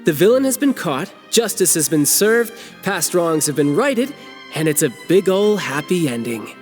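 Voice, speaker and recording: Casting: smug young rogue, breezy and playful, highish voice, very lively pitch. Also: male voice, moderate pace, soft background music. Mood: smug amusement. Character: cocky young rogue, breezily amused by drama. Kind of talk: anime game voice acting.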